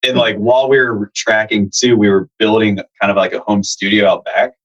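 A man from Virginia chats as a guest on a podcast, loose and rambling, with many "like"s. His voice sits on the low side. He talks from the room at home where his band records. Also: brisk, dull audio. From Virginia, USA. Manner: relaxed, rambling, says "like" often. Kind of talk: casual interview chat.

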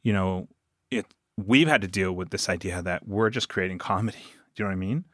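A man's voice: deep voice, brisk, a bit bright, no room sound, studio quality. A man speaking earnestly and thoughtfully as a guest in a conversational interview. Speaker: interview guest, audio drama producer.

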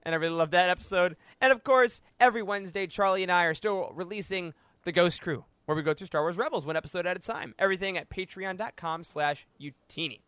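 An enthusiastic male podcast host with a high, bright voice. He speaks casually and playfully, and the sound is close and dry. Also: fast talker, clear, resonant tone, dry recording. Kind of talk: casual podcast hosting and reading out a listener's letter.

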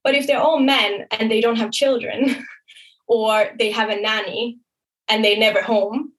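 A young woman with a somewhat high voice speaks freely as a podcast guest. She talks in a casual, chatty way, often tacking "right?" onto her points and laughing lightly as she goes. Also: fairly even intonation, a bit bright, rough recording. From Thailand. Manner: chatty, frequent "right?" tags and quick laughs. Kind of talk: casual interview talk.

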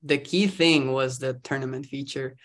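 A man's voice: medium pitch, fairly even intonation, moderate pace, a bit bright. A young man, chatting upbeat as a podcast guest. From Costa Rica. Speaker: guest, startup founder. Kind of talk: casual interview chat.

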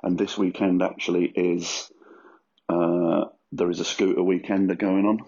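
A man with a husky, rough voice rambles through his solo podcast, crude and self-mocking. His voice sits very low with a heavy gravelly fry. The recording sounds dull and lo-fi. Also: flat delivery, unhurried, a little mellow. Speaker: podcast host.

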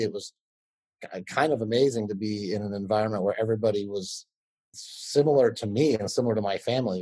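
Low, mellow-voiced man with a husky edge. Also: low-quality recording. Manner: measured, with fillers and restarts. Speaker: attorney, podcast guest. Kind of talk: conversational interview answers.

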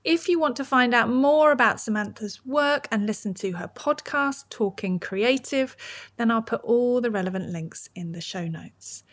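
A woman's voice: on the high side, lively intonation, moderate pace, no echo. Woman podcast host, dry sound. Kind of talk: podcast hosting, interview and scripted outro.

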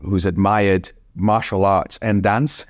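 A man, a former ballet dancer who now teaches, talking in a reflective conversation, halting often and restarting his sentences. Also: deep voice, fairly even intonation, dry recording, studio quality. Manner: halting, with frequent restarts and laughs.

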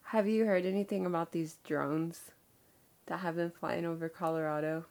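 Husky-edged young woman with a head cold. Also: fairly low pitch, steady tone, moderate pace, a little mellow. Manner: chatty, laughing, filler-heavy. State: nasal from a cold. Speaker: podcast co-host. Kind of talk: casual chat.

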